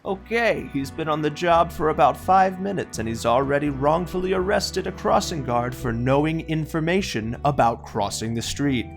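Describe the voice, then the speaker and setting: A man's voice: fairly high pitch, moderate pace, gravelly, few pauses. A man playing the wry narrator of a comic detective audio play, mocking and self-aware in his delivery. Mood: sardonic. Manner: sardonic, self-aware, animated. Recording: faint guitar music behind.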